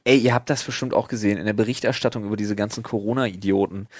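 A man's voice: lowish voice, fairly even intonation, fast, no echo. Slangy man, close dry recording. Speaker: podcast host. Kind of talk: casual, slangy banter with friends.

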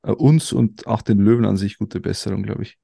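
A man co-hosting a casual podcast in a heavily gravelly, creaky voice. His tone is dark and flat, and his humour is dry and gloomy. He sounds close and dry on the mic. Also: on the low side, a little fast, dry recording. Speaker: podcast co-host.

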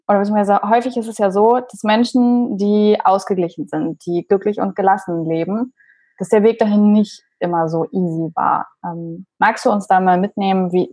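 A woman's voice: mid-range voice, warm tone, breathy edge, no room sound. A woman hosts a podcast interview in a casual, chatty way. She asks friendly, encouraging questions in a mid-range voice. The sound is dry and close to the mic. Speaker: podcast host.

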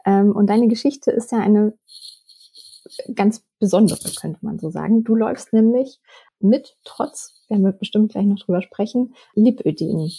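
A woman's voice: medium pitch, normal speed, mellow, breathy edge. A woman interviewing a guest on a podcast, friendly and sometimes searching for words.

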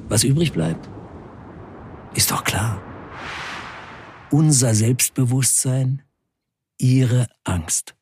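A man playing a defiant 1970s factory worker on strike, recounting events in a deliberate, declamatory radio play narration. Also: medium pitch, darkish tone. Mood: bitter, defiant. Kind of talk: dramatic first-person radio play narration.

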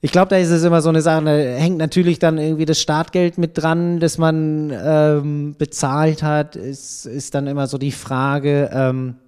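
Mellow-voiced man chatting casually. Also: fairly high pitch, moderate pace, hardly any pauses, clean tone. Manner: relaxed, many fillers, thinks aloud. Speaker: podcast guest, triathlon editor. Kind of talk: casual podcast conversation.

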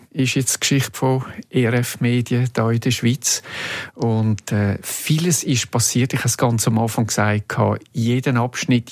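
A man's voice: fairly low pitch, no room sound. A man with a Swiss German accent hosting a conversational interview as a podcast host.

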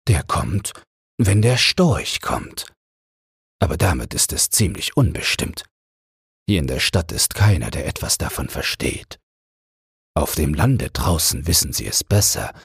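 Mann spielt alten, heiseren, weisen Spatz. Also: male voice, extremely low pitch, sing-song, unhurried, vocal fry, slightly rough, no room sound, studio quality, heavily acted. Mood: knowing. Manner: wise, opinionated. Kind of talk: fairy-tale audiobook character voice.

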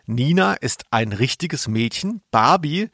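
A man's voice: medium pitch, lively intonation, a little slow, fairly bright tone, slightly rough, no room sound. Emphatic man chatting, very dry sound. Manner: bemused, emphatic, colloquial. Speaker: podcast co-host. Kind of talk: casual review chat.

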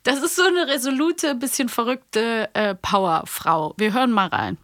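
A woman's voice: a little high, normal speed, a bit bright, no room sound, studio quality. A woman co-hosting a podcast, enthusiastically retelling stories in casual chat. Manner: enthusiastic, acts out quoted lines. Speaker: podcast co-host. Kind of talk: casual chat retelling audio drama episodes.

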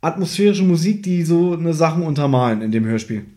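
A man's voice: fairly high pitch, expressive, fairly quick, mellow. A man co-hosting a podcast, chatting casually and conversationally as he recaps an audio drama from his notes. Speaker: podcast co-host.

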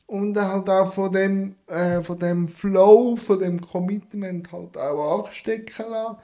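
A male podcast host with a Swiss German accent talks in a relaxed, casual way, his voice gravelly with fry. He keeps tagging questions onto his sentences as he steers the interview. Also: high-pitched, takes it slowly. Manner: relaxed, fills with "halt" and "oder?".

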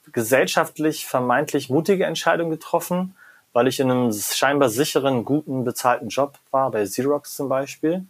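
Husky, reflective German professor. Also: male voice, medium pitch. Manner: reflective, animated, frequent "ja?" and "ne?" tags. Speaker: guest, professor of creativity and design. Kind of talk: conversational interview answers.

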